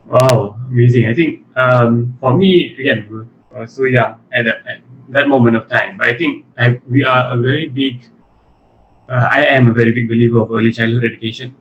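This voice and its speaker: A man's voice: medium pitch, fairly even intonation, moderate pace. A man from Malaysia with a Malaysian accent, chatting casually as an interview guest. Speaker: interview guest, animation studio chief executive. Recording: muffled lo-fi audio with faint noise.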